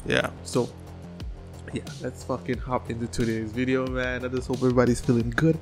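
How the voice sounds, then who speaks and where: A man in his thirties hosting a casual reaction video, talking in an animated, slangy way with constant swearing and repeated words. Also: medium pitch, fairly quick, soft background music. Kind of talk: casual excited reaction commentary. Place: small furnished room at home. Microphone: close desk microphone on boom arm.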